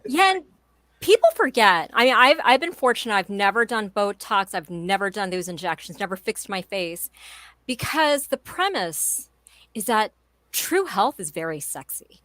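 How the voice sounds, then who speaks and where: A woman's voice: mid-range voice, lively intonation, normal speed, a bit bright, no echo. A woman in her forties speaking as a guest in an interview, emphatic and enthusiastic in a motivational way. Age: 40s. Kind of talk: conversational interview.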